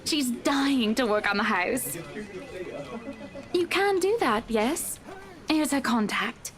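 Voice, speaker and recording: Wealthy society wife for a visual novel, played by a woman: very high, gushing, gracious, breezy. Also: animated, slow pace, a bit bright, full character voice. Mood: gracious, sweet. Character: wealthy, gushing, genteel married lady buying a mansion.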